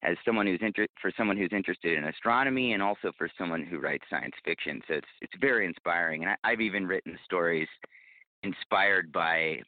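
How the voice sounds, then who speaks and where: A man with a low voice talks over a narrow, phone-like connection with a dry sound. He answers conversationally as an interview guest, in an articulate and reflective way. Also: a little fast, no room sound. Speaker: podcast guest, podcast creator and bookstore owner. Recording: thin phone-like line.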